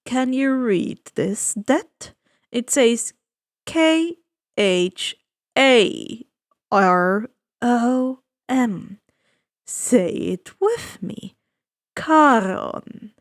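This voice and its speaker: A woman reading a story aloud and voicing a suave, sinister male ferryman of the dead with a smooth, slow, drawn-out delivery. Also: fairly high pitch, expressive, no room sound, clean studio sound. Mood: silkily amused. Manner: slow, drawn-out, dryly polite.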